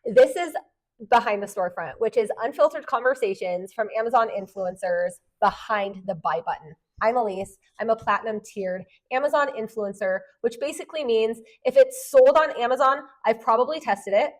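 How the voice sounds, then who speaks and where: A woman around forty hosting a podcast, polished in her intro and chatty when she explains things. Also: on the high side. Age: late 30s to 40. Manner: brisk, polished delivery that turns chatty and explanatory. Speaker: podcast host and Amazon influencer. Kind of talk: podcast conversation.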